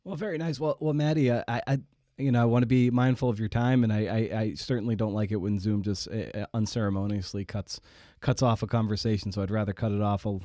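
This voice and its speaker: Low-voiced, wry podcast host, male. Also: fairly even intonation, fast, warm tone, no room sound, clean studio sound. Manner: self-deprecating, wry. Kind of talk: casual podcast monologue and interview.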